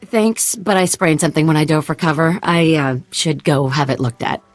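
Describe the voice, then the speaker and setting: A woman's voice: low-pitched, normal speed, no room sound, faint music behind. A woman playing a nervous cantina dancer in a video game, uneasy and hesitant, speaking plainly. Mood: rueful. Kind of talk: video game cutscene acting.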